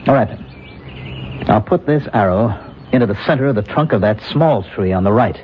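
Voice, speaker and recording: A man acting an earnest, wondering hunter, lively delivery. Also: on the low side, fairly quick, natural voice. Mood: proud, eager. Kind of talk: old-time radio drama acting. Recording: muffled, faintly noisy old radio recording.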